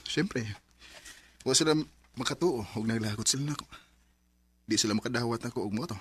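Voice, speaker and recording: Man playing husky, earnest man confessing love. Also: medium pitch, brisk, natural voice. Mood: earnest, relieved. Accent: Cebuano. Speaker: radio drama actor. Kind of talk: Filipino radio drama acting.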